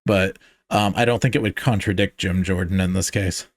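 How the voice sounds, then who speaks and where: A man's voice: deep voice, fairly even intonation, moderate pace, no echo, clean studio sound. A man hosting a podcast, talking through theology in a casual, searching way with lots of fillers and restarts. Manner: thinks aloud with many fillers and restarts. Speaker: podcast host.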